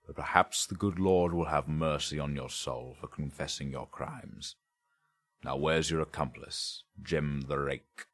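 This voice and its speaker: Man playing stern, very deep-voiced police inspector. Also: steady tone, a little slow. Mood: weary, stern. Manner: brusque, scornful questioning. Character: stern, impatient, sceptical male London police inspector.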